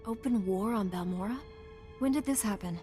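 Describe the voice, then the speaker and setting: An actress playing a dutiful, wry young Jedi Knight heroine in a video game. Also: female voice, medium pitch, moderate pace, mellow, in a large hall, faint music behind, natural voice. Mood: resolute, questioning. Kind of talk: video game cutscene acting.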